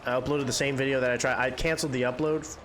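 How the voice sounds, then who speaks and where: A man's voice: medium pitch, fairly even intonation, quick pace, fairly bright tone, slight background noise. A young man with a congested voice, chatting in a rambling, candid way while hosting a game playthrough. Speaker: gaming channel host. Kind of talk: casual chat during a game playthrough.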